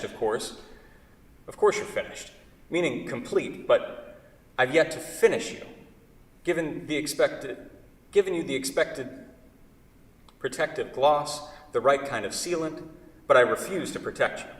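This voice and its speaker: An actor playing an anguished, needy male woodworker in a theatrical monologue, halting and repeating himself obsessively. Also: a little high, lively intonation, close to an everyday voice. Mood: resentful, pleading. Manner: halting, repetitive, self-correcting dramatic delivery. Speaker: actor in a staged play reading. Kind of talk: theatrical monologue at a staged reading. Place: theatre, recorded from far off. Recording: echoey hall, distant microphone.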